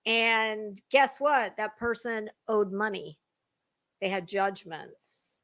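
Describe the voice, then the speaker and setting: A woman's voice: mid-range voice, steady tone, slow, a bit bright. An American woman doing a dramatic reading mixed with casual, gossipy commentary, blunt and snarky with theatrical emphasis. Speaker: online commentator reading documents aloud.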